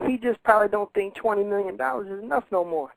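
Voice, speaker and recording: A man's voice: high-pitched, fast, vocal fry, dry recording, rough recording. A man in his fifties calling in to a radio show, rambling in a meandering way with nonstandard grammar. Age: 50s. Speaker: caller. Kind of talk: rambling radio call-in chat.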